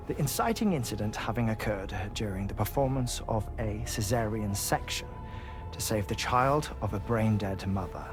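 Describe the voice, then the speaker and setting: An actor playing a measured, formal investigator who explains things deliberately and courteously in a video game cutscene. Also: male voice, on the low side. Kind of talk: dramatic expository game cutscene dialogue.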